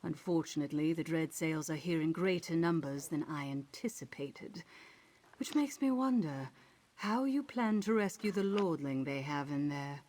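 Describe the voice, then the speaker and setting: A woman's voice: sits low, normal speed, darkish tone, a little hiss or hum, lightly acted. An actress playing a tough, vengeful seafaring woman with a dry, sardonic manner in a video game. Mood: dry, determined. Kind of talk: video game character dialogue.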